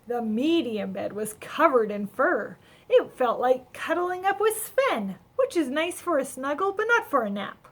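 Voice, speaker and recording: Woman reading as a high-pitched cheerful little snowman. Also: breathy edge, heavily acted. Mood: cozy, content. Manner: wide-eyed, chatty, animated. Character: cheerful, naive, friendly little male snowman telling his adventure. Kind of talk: children's storybook read-aloud.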